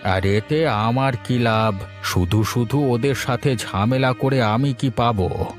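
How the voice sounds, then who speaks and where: A man's voice: mid-range voice, takes it slowly, soft background music, close to an everyday voice. A man voicing a spiteful, greedy village schemer in a cartoon, with a conniving, accusatory manner. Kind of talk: cartoon dialogue acting.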